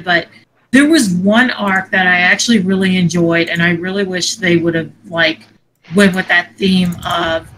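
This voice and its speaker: A woman's voice: lowish voice, a bit bright, rough recording. A woman co-hosting a casual podcast, giving her opinions in informal, slangy talk. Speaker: podcast co-host. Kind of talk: casual chat. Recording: noticeable background noise.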